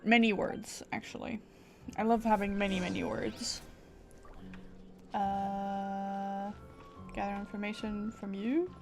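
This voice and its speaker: A woman's voice: mid-range voice, level tone, slow, long pauses, faint music behind. A woman streamer giving casual, playful and reactive commentary as she plays through a game.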